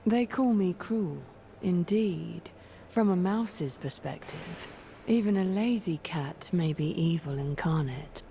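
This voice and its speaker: A cold, scheming sorceress from a video game, low and darkly mellow. She speaks with formal, imperious menace, over faint background noise. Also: expressive, slow, gravelly, exaggerated voice. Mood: grave, defiant. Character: cold scheming ancient sorceress, regal manipulator plotting from shadows. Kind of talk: scripted video game character voice lines.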